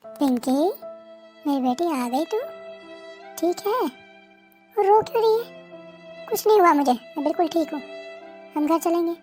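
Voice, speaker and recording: An actress playing a gravely ill young mother, soothing her crying daughter in a soft, reassuring way. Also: very high voice, lively intonation, a little slow, pauses often. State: weak, ill. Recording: faint music behind.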